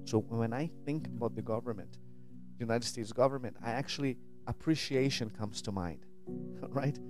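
A man's voice: medium pitch, moderate pace. Earnest Brazilian-raised man musing over music. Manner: earnest, searching, many restarts and repeated "right?", gestures a lot. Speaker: spiritual vlogger. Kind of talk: reflective monologue to camera. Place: home studio with guitars and purple lights. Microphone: studio mic on a stand, headphones.

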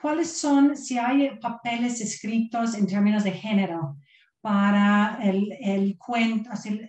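A woman's voice: medium pitch, darkish tone. A woman in an online panel discussion, appreciative and chatty with fillers, asking the speaker a question. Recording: echoey room on a video call.

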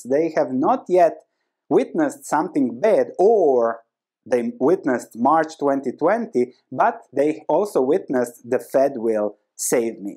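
Croatian guy in his 30s talking to camera, explaining stuff, chatty and animated, repeats words for emphasis. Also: mid-range voice. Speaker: host of an investing video channel. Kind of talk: explanatory book summary to camera. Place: small indoor room with bookshelves. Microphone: lapel mic.

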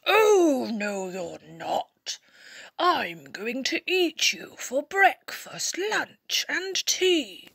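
Storybook troll, played by a woman: greedy bully, husky, slow, menacing, big swoops in pitch. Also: very animated, fairly bright tone, dry recording, clean studio sound, full character voice. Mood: menacing, hungry. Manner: menacing, drawn-out booming delivery. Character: greedy, roaring, bullying male troll under a bridge. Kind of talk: children's storybook character voice.

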